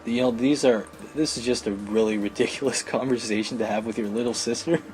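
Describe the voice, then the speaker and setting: A man voicing a sarcastic, exasperated high school older brother in a visual novel, grumbling and protesting. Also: fairly even intonation, brisk, a little mellow, faint music behind, lightly acted.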